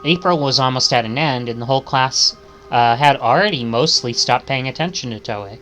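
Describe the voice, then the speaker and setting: A man's voice: medium pitch, moderate pace, sharp tone, soft background music. A man reading visual novel text aloud as a streamer, stumbling and correcting himself, with casual asides.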